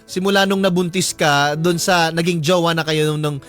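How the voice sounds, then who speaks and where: A man's voice: a little high, fairly even intonation, moderate pace, a bit bright. A man with a Filipino accent co-hosting on radio, bantering casually and reacting playfully. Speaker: radio co-host. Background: background music.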